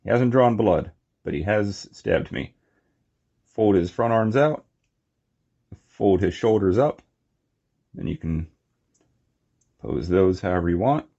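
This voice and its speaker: Low-voiced, slow-talking man reviewing toys. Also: lively intonation, gravelly, mellow, slightly rough, highs cut off. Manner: halting, short phrases while handling objects. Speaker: toy reviewer. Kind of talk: casual unscripted hands-on product review.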